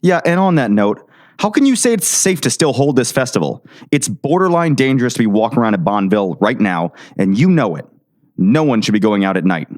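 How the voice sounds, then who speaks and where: A man's voice: medium pitch, sing-song, a little fast, darkish tone, close to an everyday voice. A man playing a stubborn, suspicious small-town sheriff in a 1960s audio drama, curt, sarcastic and defiant.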